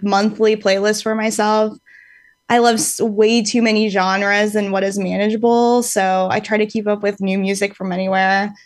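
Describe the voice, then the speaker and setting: A woman's voice: medium pitch, no room sound. A woman in her late twenties who sings in a band, talking casually in an interview. She rambles a little, thinks aloud and fills gaps with ums, and she laughs easily. Her voice sits mid-range and is recorded close and dry. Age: late 20s. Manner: rambling and reflective, with many fillers and easy laughs. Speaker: band singer, podcast guest.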